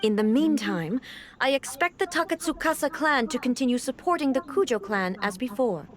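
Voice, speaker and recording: A woman voicing a stern, composed female ruler in a video game, speaking in a formal, measured, authoritative way. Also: sits high, animated. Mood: stern, commanding.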